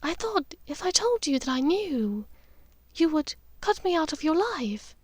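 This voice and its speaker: A refined middle-aged witch, acted by a woman narrator, speaks in a raised, high register. She is measured and precise yet emotional, as in a storybook, on a very dry recording. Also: very animated, darkish tone, dry recording. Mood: regretful, tearful. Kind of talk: audiobook character dialogue.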